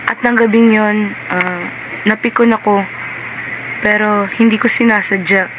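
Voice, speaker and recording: A woman's voice: medium pitch, steady tone, a little slow, noisy background, natural voice. A Filipino woman playing a guilty, defensive murderess in an amateur Tagalog radio drama. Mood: bitter, confessing.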